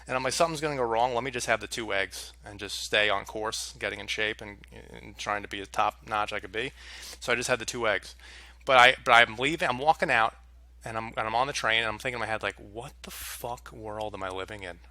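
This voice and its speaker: A man's voice: lowish voice, fairly even intonation, brisk, sharp tone. A young man telling a story to camera in a casual, exasperated rant with plenty of swearing. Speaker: vlogger.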